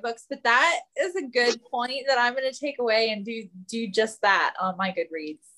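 A woman's voice: a little high, normal speed, sharp tone, low-quality recording. A woman in casual podcast chat, stating her opinions with emphasis. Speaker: podcast panelist.

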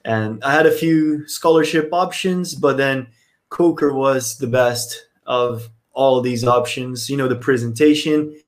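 Relaxed young man from Brazil. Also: mid-range voice. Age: 20s. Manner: relaxed, many fillers. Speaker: podcast guest. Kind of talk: casual interview.

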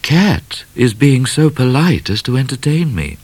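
A man's voice: medium pitch, sing-song, measured pace, a little mellow, slightly rough, voice pushed up. A man reading aloud, voicing a vain, disdainful young lady in a storybook. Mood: haughty. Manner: disdainful, prim.

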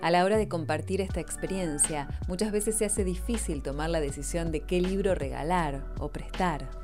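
A woman's voice: on the low side, moderate pace, music in the background. A woman reading scripted narration for a feature report about culture.

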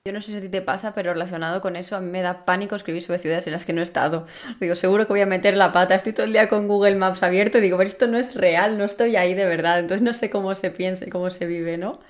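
A woman's voice: on the low side, fairly even intonation, rapid-fire. A woman hosting a podcast, chatting casually and enthusiastically in informal slang as she interviews a writer. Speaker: podcast host.

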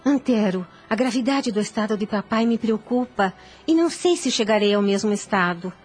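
A woman's voice: medium pitch, lively intonation, normal speed, a little mellow, lightly acted. An actress playing a devout, anxious young noblewoman in a period radio drama, with an earnest, formal, pleading delivery. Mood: worried, devout. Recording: music under the voice. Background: background music.

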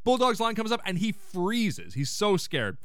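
A man hosting a podcast, giving a casual, slangy comedic recap in an excitable way and acting out quotes. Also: high-pitched, measured pace, no room sound, clean studio sound. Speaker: podcast host.

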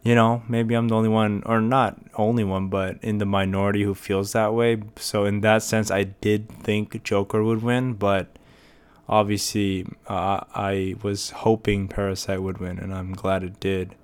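A man's voice: on the low side, level tone, unhurried, smooth. A young American man hosting a casual solo podcast, rambling with many fillers and restarts. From United States. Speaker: podcast host.

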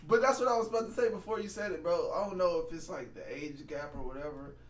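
A man's voice: on the high side, fast, slight background noise. A man in his twenties with a nasal voice, co-hosting a casual podcast chat and joking around. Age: 20s. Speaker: podcast co-host.

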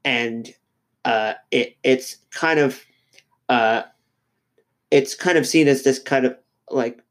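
A man co-hosting a podcast while he has a cold, sounding a little nasal, talking in a halting, reflective way with lots of fillers and restarts. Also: mid-range voice. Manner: reflective, thinking aloud. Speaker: podcast co-host. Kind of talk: casual podcast discussion.

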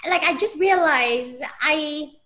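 A woman's voice: high-pitched, slow, bright, forceful tone. A young English woman, a podcast guest, talking casually with many restarts and fillers. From England.

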